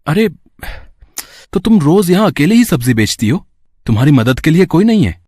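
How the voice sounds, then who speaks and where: A man's voice: fairly high pitch, sing-song, moderate pace, a little mellow, husky, dry recording, studio quality. A man playing a kind young man in an audio story, caring and reassuring like a big brother. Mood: concerned. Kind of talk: acted audio story dialogue.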